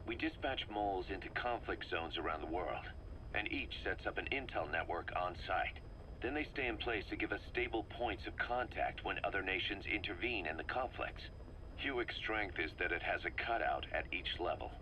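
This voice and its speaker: A man's voice: deep voice, expressive, moderate pace, pushed and bright, a little hiss or hum, poor audio. An actor playing a bitter middle-aged mercenary commander in a video game, briefing his boss in a terse, intense way. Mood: resolute. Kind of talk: dramatic video game acting, expository briefing.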